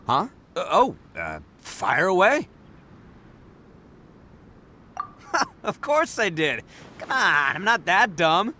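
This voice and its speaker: A man's voice: high voice, wildly swooping pitch, takes it slowly, pushed and bright, slight background noise, heavily acted. A man voicing a brash, boastful young male oni gang boss in a game, with a good heart and a boisterous, laughing bravado. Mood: cocky. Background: music.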